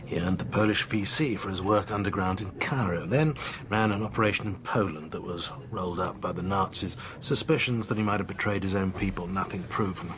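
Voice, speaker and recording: Actor as a low-voiced, urbane British spymaster. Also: male voice, fairly quick, noisy background, close to an everyday voice. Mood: brisk, authoritative. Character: urbane, condescending male British intelligence chief. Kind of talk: broadcast radio drama acting.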